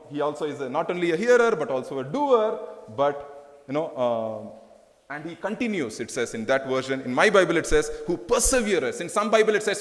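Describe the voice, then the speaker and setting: A man with an Indian English accent preaching a fervent sermon to a congregation, repeating phrases and rising to emphatic bursts. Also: on the high side. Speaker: pastor. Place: church hall. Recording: long reverb of a church hall.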